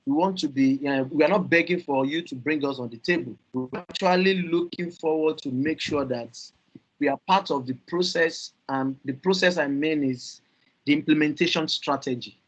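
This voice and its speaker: A Nigerian man speaking earnestly on a video call panel, halting with fillers and repeated words, over dull lo-fi audio. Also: on the high side. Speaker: youth climate panelist.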